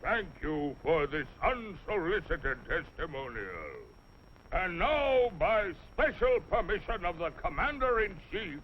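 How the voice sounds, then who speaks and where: Bossy martian tyrant in an old cartoon, high slow voice, self-important. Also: male voice, lively intonation. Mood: pompous, commanding. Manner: commanding, self-important, stumbling into false politeness. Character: pompous, bossy Martian dictator addressing his subjects. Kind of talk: 1950s animated cartoon voice acting. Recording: dull, noisy 1950s film soundtrack.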